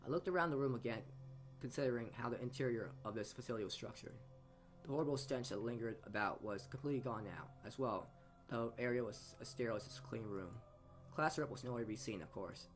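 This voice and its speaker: Guy doing a lets play reading, stumbles and rereads lines, music playing behind him. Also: medium pitch. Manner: halting, stumbling over words and re-reading. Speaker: let's play host reading a visual novel aloud. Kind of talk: reading game text aloud with asides. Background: game music.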